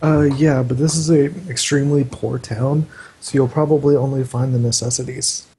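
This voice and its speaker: Flat, mellow-voiced man running the game. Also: mid-range voice, normal speed, a little hiss or hum, low-quality recording. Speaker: game master. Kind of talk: narrating a tabletop game over voice chat.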